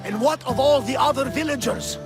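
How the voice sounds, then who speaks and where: A man's voice: very high-pitched, fairly quick, gravelly, over music. An actor playing a tough but caring older male relative in a video game. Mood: grateful, warm. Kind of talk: dramatic video game acting.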